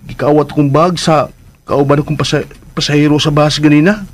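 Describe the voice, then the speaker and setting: A man's voice: on the high side, steady tone, a little fast, close to an everyday voice. An actor playing a naive, anxious young provincial man in a radio drama, with a Visayan Philippine accent and a plaintive, pleading manner. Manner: plaintive, pleading, talks to himself. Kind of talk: radio drama acting in Cebuano. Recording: radio drama with background noise.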